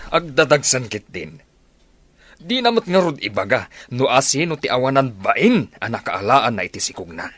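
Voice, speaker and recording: A man's voice: mid-range voice, very animated, normal speed, fairly bright tone, dry recording. A man voicing a stern, middle-aged Filipino father with an Ilocano accent, harsh and scolding in a radio drama. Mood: angry, scornful. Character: stern, angry father who disowned his pregnant daughter.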